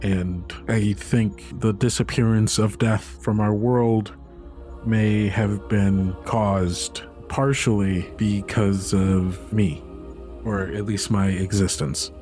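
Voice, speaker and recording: A man's voice: on the low side, fairly even intonation, takes it slowly, a little mellow, faint music behind. A man playing an uncertain, defensive young girl in a tabletop game, hesitant and questioning in casual words.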